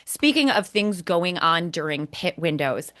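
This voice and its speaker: A woman's voice: lowish voice, sing-song, measured pace, fairly bright tone. A woman co-hosting a motorsport podcast, giving emphatic, critical commentary and explaining point by point. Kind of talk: opinionated podcast commentary on race broadcasts.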